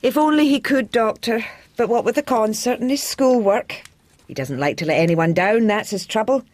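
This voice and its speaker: An actress playing a bossy, devoted schoolmaster's wife with a Scottish accent in a period radio drama, nagging and talking over others. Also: female voice, on the high side, moderate pace, darkish tone, no echo, lightly acted. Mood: anxious, insistent.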